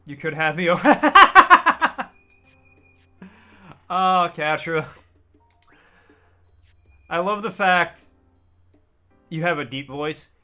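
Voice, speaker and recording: A man live-streaming a game, chatting casually with his viewers in a deliberately raised, customer-service-style register. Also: high voice, very animated, bright, forceful tone, faint music behind. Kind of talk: casual live-stream chat while gaming.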